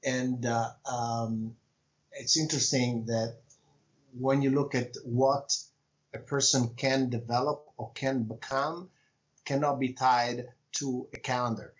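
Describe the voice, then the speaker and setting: A man with an Italian accent, speaking as an author being interviewed on a podcast, talking in a reflective, measured way with frequent pauses. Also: medium pitch, fairly even intonation, muffled recording. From Italy. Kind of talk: conversational interview.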